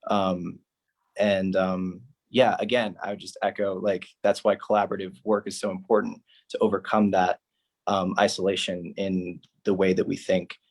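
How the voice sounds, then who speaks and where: A man's voice: low voice, little pitch movement, normal speed, husky. A man talking casually on a discussion panel in a hesitant, reflective way.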